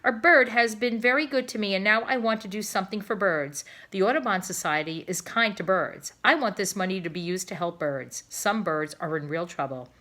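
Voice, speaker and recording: A woman voicing an excitable, generous male storekeeper in a children's storybook, speaking in emphatic declarations. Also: medium pitch, fairly quick, hardly any pauses, natural voice. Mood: delighted, earnest. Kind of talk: children's storybook read-aloud.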